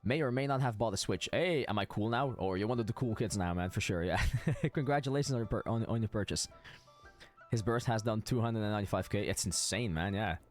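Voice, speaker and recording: A man's voice: lowish voice, brisk. A man streaming a game with casual commentary and chat, often stammering and repeating words. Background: game music.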